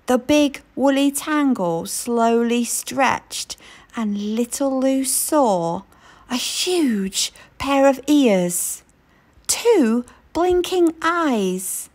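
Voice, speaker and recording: A woman reading a children's picture book aloud in an expressive storytelling way. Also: fairly high pitch. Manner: expressive storytelling, slow and lilting.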